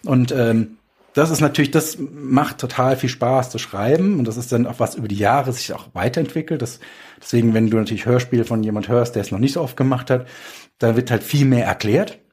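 A man's voice: medium pitch, brisk, a little mellow, slightly rough. A man chatting casually as a podcast guest, explaining his work as a writer with examples. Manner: explains with examples and acted-out quotes. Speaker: guest, audio drama writer.

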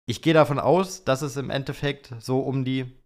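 A man's voice: medium pitch, fairly even intonation, normal speed. A young man co-hosting a podcast, chatting informally with youthful slang. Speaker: podcast co-host. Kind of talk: casual podcast chat.

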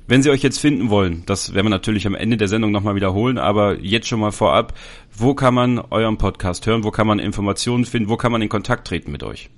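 A man hosts a podcast, his voice a little low. He asks his guest questions in a relaxed, fluent way. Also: fast. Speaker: podcast host. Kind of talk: casual interview.

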